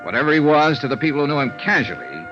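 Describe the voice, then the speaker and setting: World-weary radio noir investigator, American accent, clipped dry delivery, animated intonation, dull old broadcast sound. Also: male voice, on the high side, fast talker, music in the background. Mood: somber narrating. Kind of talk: 1950s radio noir acting and narration. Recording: muffled vintage radio recording.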